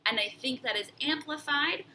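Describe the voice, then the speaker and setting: Very bright, nasal young woman. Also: high-pitched, normal speed, slight background noise, low-quality recording. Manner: reflective, hesitant, many fillers and restarts. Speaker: vlogger. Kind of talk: casual personal vlog talk.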